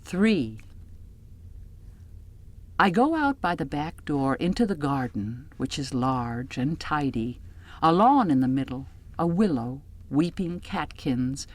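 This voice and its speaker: A woman with a low voice narrates a literary audiobook in the first person. Her delivery is measured and scripted, with phrase endings that fall away toward a near-whisper. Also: lively intonation.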